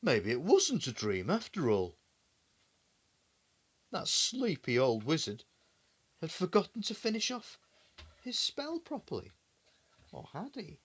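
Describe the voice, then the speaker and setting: A man's voice: a little high, huge pitch swings, slow, pauses often, breathy edge. A man reading a bedtime story to his young child in a conversational way, with questioning asides and dramatic repetition.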